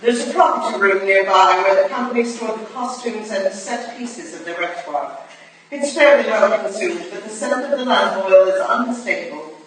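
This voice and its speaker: A shrewd, commanding Victorian lady detective acted by a woman speaks in formal, clipped, imperious phrases. It is a staged reading, caught from far off in a very echoey hall with clear background noise. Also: medium pitch, expressive, moderate pace, lightly acted. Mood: coolly analytical. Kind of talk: staged reading of a period drama. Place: live staged reading in a roomy indoor venue.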